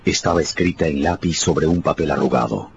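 A man's voice: rumbling low, a little fast, noisy background. A man narrating a radio drama in a dramatic, scripted storytelling style. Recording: dull sound with music underneath. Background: music.

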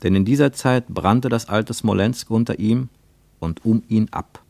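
A man reading scripted literary narration for radio in a measured, solemn manner. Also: lowish voice, vocal fry, darkish tone, no room sound.

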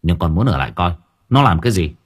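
Man as a husky, defiant young labourer. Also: low-pitched, big pitch swings, fast, pitched below the performer's own voice, exaggerated voice. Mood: stubborn. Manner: curt, challenging, irritable. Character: defiant, hot-headed young male labourer, stubborn and brash.

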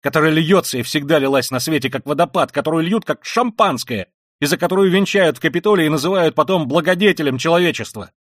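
Male reader as a feverish, proud young man: hushed and weary, dry close sound. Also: on the low side, moderate pace, dry recording, lightly acted. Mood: furious. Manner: quiet and weary, then bursts into shouting rage. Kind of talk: audiobook reading of a classic novel.

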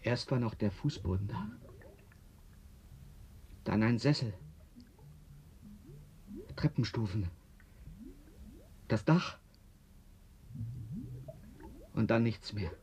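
Theatrical eccentric man, wildly varying pitch. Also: lively intonation, slow pace, long pauses, darkish tone, slight background noise. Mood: bitter, grieving. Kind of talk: German radio drama acting.

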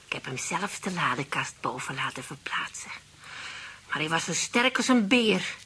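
An actress playing an elderly, cynical widow in a radio play, grumbling in a matter-of-fact, gossipy way with a creaky, raspy voice. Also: female voice, low voice, very animated, moderate pace, bright, forceful tone, heavily acted. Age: older. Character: dry, cynical elderly widow who distrusts men.

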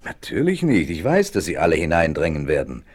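A man's voice: a bass voice, wildly swooping pitch, fairly quick, exaggerated voice. A man playing a ruthless, scheming gang boss in a German radio play, cynical and menacing. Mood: sly, gloating. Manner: sneering, menacing. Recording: 1970s vinyl radio play.

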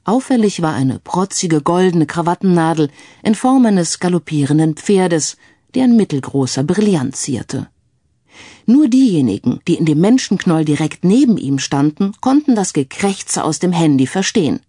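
A woman's voice: lowish voice, sing-song, moderate pace, dark tone, breathy edge, dry recording, clean studio sound. A woman narrating a scripted crime story as an audiobook reader.

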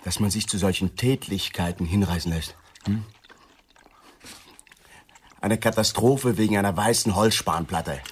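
A man's voice: fairly low pitch, a little slow, long pauses, slight background noise, natural voice. An actor playing a sensitive, brooding middle-aged man in a radio play. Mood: exasperated. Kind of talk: radio play acting and monologue.

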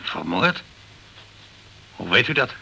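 An actor playing a gruff, guarded male sea captain in a Dutch radio crime drama, speaking tersely. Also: fairly low pitch, very animated, takes it slowly, pushed and bright, slight background noise, heavily acted. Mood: guarded, troubled.

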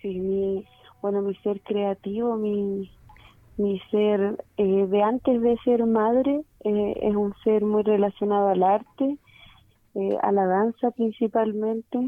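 A woman's voice: mid-range voice, level tone, slow pace, no echo. A woman teacher and dancer answering radio interview questions over the phone, hesitant with many filler sounds. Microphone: telephone. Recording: tinny phone line.

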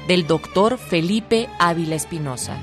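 A female narrator reads a scripted historical radio drama in a formal style. Her pitch leans low, and violin music is clearly heard underneath. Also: expressive, measured pace.

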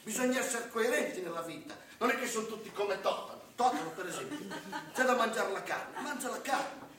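An actor playing a sardonic, boastful restaurant chef in a comic theatre monologue, talking colloquially and digressing, full of rhetorical check-ins. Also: male voice, high-pitched, lively intonation, fairly quick, fairly bright tone. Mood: mocking, animated. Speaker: stage actor in a satirical monologue. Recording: distant roomy live recording, faint noise.

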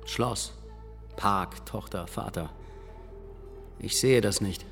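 In a long reverb, a baffled man with a lowish voice. He speaks slowly in terse, uneasy phrases, blunt and hesitant, as in a radio drama. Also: fairly bright tone. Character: baffled, stubbornly blunt male listener, uneasy. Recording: long reverb, faint music behind.

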